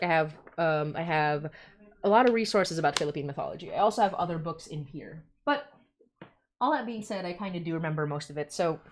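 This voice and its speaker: A woman hosting a casual livestream, chatting informally and often interrupting herself as she goes. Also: lowish voice. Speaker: podcast host and creator.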